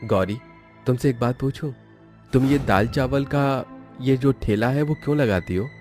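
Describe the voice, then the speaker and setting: A man's voice: mid-range voice, a little slow, a little mellow, soft background music, natural voice. A man voicing a rich, sincere young college student in a cartoon story, polite and earnest. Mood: tender. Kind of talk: Hindi cartoon story acting.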